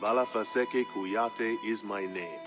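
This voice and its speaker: An actor playing a proud royal griot, narrating a strategy game's campaign story in a grand, measured and emphatic manner. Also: male voice, fairly low pitch. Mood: reverent, calm. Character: proud male griot, a king's humble family historian, solemn storyteller. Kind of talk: dramatic scripted narration for a strategy game campaign. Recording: music behind the voice. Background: background music.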